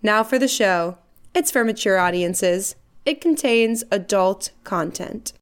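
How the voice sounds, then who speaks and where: A woman's voice: medium pitch, animated, slow pace, clear, resonant tone. A woman reading a scripted promo and show introduction as a podcast network announcer. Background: music.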